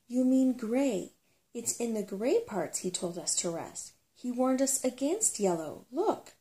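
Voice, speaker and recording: A woman's voice: a little high, very animated, a little slow, dark tone, pitched above the performer's own voice. A woman reading the part of an earnest, anxious young boy in a storybook adventure. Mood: insistent. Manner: earnest, insistent.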